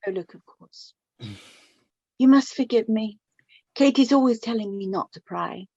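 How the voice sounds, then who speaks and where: A woman playing a middle-aged mother of the bride in a radio play, fond, gently prying and rambling. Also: fairly high pitch, measured pace, creaky. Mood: apologetic. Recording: echoey room, dull lo-fi sound.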